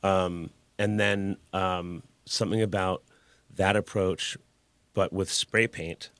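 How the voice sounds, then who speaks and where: A man's voice: deep voice, fairly even intonation, slow, a bit bright, smooth, dry recording. A man in his forties, a guest artist telling his story in a candid, laid-back way with casual swearing. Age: 40s. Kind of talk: casual interview conversation.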